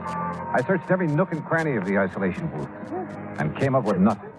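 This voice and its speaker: An American actor playing a tough, probing insurance investigator in a 1950s radio drama, clipped and commanding. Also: male voice, medium pitch, big pitch swings, fairly quick, loud music behind the voice, lightly acted, poor audio. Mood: grim. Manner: clipped, commanding, hard-boiled. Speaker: narrator and lead actor. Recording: 1950s radio broadcast recording.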